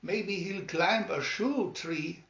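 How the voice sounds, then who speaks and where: A man's voice: high voice, slow pace, creaky, fairly bright tone, pitched above the performer's own voice, heavily acted. A man voicing an incredulous, mocking cartoon stadium policeman in a storybook reading. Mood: mocking amusement. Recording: dull, roomy home recording.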